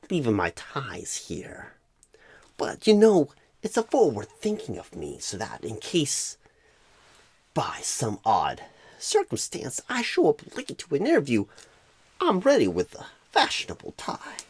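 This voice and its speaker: A man playing a clueless, bumbling job recruiter in a comedic ASMR role-play, rambling with odd pauses and repeated words, flustered but eager. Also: mid-range voice, wildly swooping pitch, a little mellow, slightly rough, no echo, exaggerated voice. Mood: awkwardly chatty.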